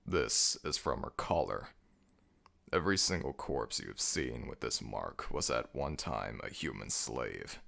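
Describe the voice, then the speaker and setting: A man playing a commanding, brooding ancient jackal god of the dead in an ASMR audio roleplay, with a gravelly, very deep voice and a slow, imperious delivery. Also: animated, bright, forceful tone, full character voice. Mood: grave, explanatory.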